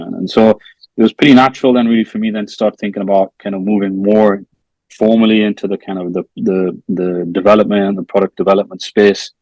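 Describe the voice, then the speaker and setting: A middle-aged man from England, answering interview questions in a measured way, full of "um" and "kind of", over a video call. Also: no room sound, low-quality recording. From Newcastle, northeast England. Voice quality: quiet, low-volume voice. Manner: measured, many fillers like "um" and "kind of". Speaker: interview guest, product manager.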